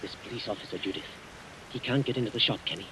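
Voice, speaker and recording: A man acting in an old-time radio suspense drama as a desperate, agitated gentleman turned murderer, halting and melodramatic. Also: mid-range voice, lightly acted. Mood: shaken, guilty. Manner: melodramatic, halting when shaken. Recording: noisy vintage radio, rain behind.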